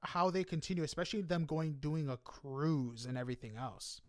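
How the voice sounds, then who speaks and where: Fairly high-voiced male interviewer. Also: moderate pace. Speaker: podcast interviewer. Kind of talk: casual interview chat.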